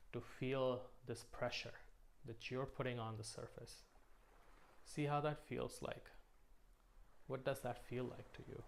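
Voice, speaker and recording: A man leading a guided meditation in a slow, soothing manner, pausing between short phrases. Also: medium pitch, gravelly, a little hiss or hum.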